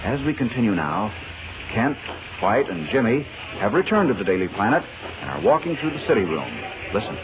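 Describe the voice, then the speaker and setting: Animated male vintage radio narrator. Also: mid-range voice, fairly quick. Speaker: announcer and narrator. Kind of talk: dramatic 1940s radio scripted narration. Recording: muffled old radio recording, faint hiss.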